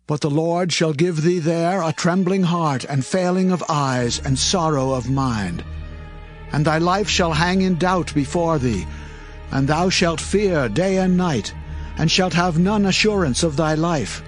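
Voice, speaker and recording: A man's voice: on the high side, a little slow, close to an everyday voice. A man playing a solemn, declamatory prophet over music. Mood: grave warning. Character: Moses, solemn male prophet and leader addressing his people. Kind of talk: dramatized scripture reading in King James English.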